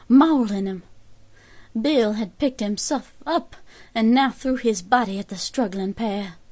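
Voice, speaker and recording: An actress playing a grave 1870s American woman survivor, giving a measured, formal account in an audio drama. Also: mid-range voice, darkish tone. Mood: intense, horrified. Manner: measured, formal old-fashioned storytelling.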